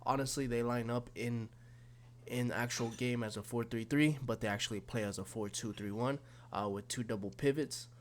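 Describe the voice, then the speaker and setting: A man with a slightly raspy, snuffly voice from being sick, casually walking through football game tactics with lots of fillers and restarts. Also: medium pitch, fairly even intonation, normal speed, a little hiss or hum. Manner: rambling, many fillers and restarts. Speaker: gaming YouTuber.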